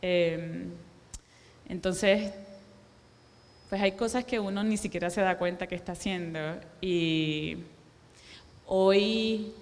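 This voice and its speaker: A woman in her forties with a Puerto Rican accent, giving a reflective public talk as a guest artist, with pauses and fillers. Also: fairly low pitch. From Puerto Rico. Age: 40s. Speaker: guest artist speaking to an audience. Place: cultural center lecture room. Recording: echoey hall.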